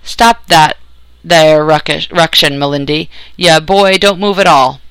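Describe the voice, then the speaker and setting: A man's voice: fairly high pitch, normal speed, sharp tone, clean tone, no echo. Man voicing a harsh, commanding old mountain granny. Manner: rustic backwoods dialect, blunt and commanding. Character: gruff, tall old mountain granny with a pistol.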